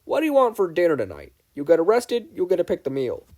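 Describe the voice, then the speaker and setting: A man's voice: sits high, huge pitch swings, quick pace, darkish tone, pitched above the performer's own voice, heavily acted. A man voicing a big-hearted young handyman with an emphatic, playfully mock-serious delivery in a story reading. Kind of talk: fan fiction audiobook character reading.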